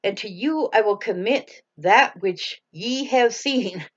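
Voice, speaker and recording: A woman reading the lines of a gentle young holy man, solemn and earnest, in a bedtime story. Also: mid-range voice, slow, muffled recording.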